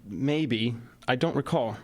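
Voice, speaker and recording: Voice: mid-range voice, slow pace. An actor playing a helpless oracle compelled to answer questions in an audio drama. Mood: helpless, resigned.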